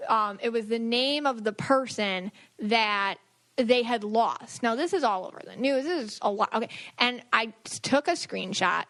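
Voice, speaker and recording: Woman, high voice, very bright and forceful, chatty podcast host, informal storytelling. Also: expressive, moderate pace. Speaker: podcast host and spiritual medium. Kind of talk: casual podcast chat.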